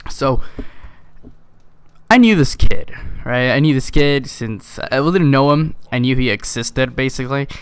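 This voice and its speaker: A man's voice: mid-range voice, animated, a little slow, clear, resonant tone, no echo. A teenage male gamer telling a casual, rambling story in an exasperated, chuckling way. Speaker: video game YouTuber.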